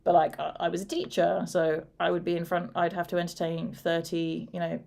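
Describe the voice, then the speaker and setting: A woman with a fairly deep voice, co-hosting a casual chat in a steady, fairly even manner. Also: brisk, creaky. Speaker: co-host.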